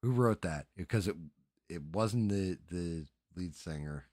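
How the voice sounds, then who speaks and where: A man's voice: low-pitched, measured pace, darkish tone, no room sound, clean studio sound. A middle-aged man chatting casually as a livestream host, crude and joking in a rambling, sweary way.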